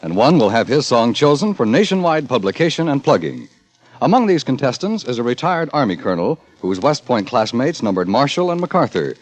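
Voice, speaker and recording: A man's voice: medium pitch, very animated, a little fast. A man reading a scripted promotional announcement in 1950s radio announcer style.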